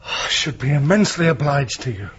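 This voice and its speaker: An actor playing an earnest young Victorian engineer shaken by an ordeal, speaking formal, polite, articulate English-accented lines in a radio drama. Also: male voice, a little high, lively intonation, brisk, fairly bright tone, breathy edge, muffled recording.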